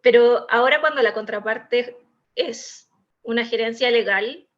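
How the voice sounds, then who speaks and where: Woman, Chilean accent, fairly high pitch, panel speaker, hesitant fillers, muffled sound. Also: a little slow. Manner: discursive, many "eh" fillers, explanatory. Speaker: in-house legal manager, panelist. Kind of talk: panel discussion.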